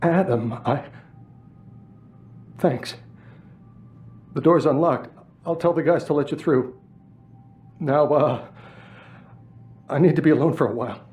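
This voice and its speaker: An actor playing a fragile, guilt-ridden man in a video game, speaking in a halting, pleading manner. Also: a little high, lo-fi sound, faint music behind, close to an everyday voice. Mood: anguished, fragile. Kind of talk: video game cutscene acting.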